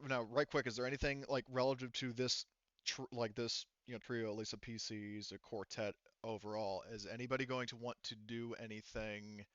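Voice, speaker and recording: Clear-voiced man running a game, echoey room. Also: mid-range voice, a bit bright, dull audio. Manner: halting, explanatory. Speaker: game master. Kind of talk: narrating a tabletop roleplaying game.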